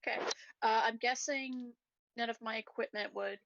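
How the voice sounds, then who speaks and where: Slightly high-voiced woman playing a tabletop game. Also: fairly even intonation, normal speed, vocal fry, fairly bright tone, dull audio, a little hiss or hum. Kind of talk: casual table talk.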